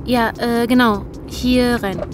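A woman's voice: a little high, steady tone, takes it slowly, noisy background. A woman playing a nervous, evasive young undercover agent in a naturalistic audio drama.

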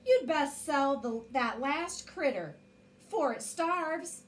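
Woman as a weary, animated frontier ma. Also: high voice, slow pace. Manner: folksy, blunt. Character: weary, exasperated frontier mother, scolding.

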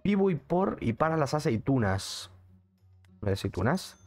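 A man's voice: on the high side, very animated, measured pace, darkish tone, soft background music. A young man with a Mexican accent, streaming a game and chatting in a casual, jokey way while answering his chat. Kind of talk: casual gaming commentary.

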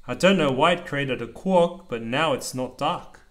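A man reading a children's storybook aloud as a parent, with casual asides and a deliberate, sing-song delivery. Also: mid-range voice.

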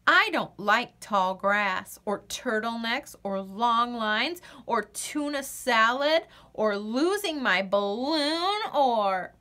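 Chatty young female storybook bird, played by a woman reader: high, bright, gushing, easily distracted, swooping pitch. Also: lively intonation, full character voice. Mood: rambling. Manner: gushing, rambling, drawn-out exclamations. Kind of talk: storybook read-aloud.

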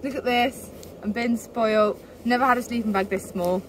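Young woman, casual vlog, upbeat and chatty, slightly high voice, handheld camera outdoors. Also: steady tone, normal speed, a little hiss or hum, low-quality recording. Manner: chatty, laughs often, upbeat. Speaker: vlogger. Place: outdoors on a mountainside by a lake. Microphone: handheld camera selfie.

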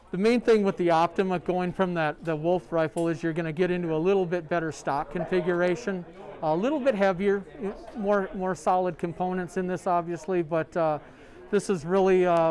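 A middle-aged man, a sales rep, showing products in a large store, talking in a halting way full of ums and uhs. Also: high voice, a little mellow. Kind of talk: product demo. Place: large sporting goods store, indoors.

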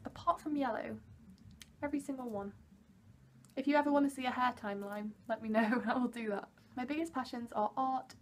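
A young woman in her early twenties, from Wales, chats to camera from her bedroom in a high, bright voice. She rambles cheerfully and laughs at herself often. A faint background hum sits under the recording. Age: early 20s. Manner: chatty, giggly, self-deprecating. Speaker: vlogger. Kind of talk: casual chat to camera. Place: bedroom, indoors. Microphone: camera at arm's length.

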